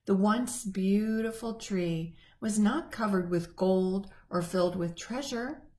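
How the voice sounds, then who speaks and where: A woman reading a picture book aloud to children, gently and expressively. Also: medium pitch, slow pace. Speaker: church children's storyteller.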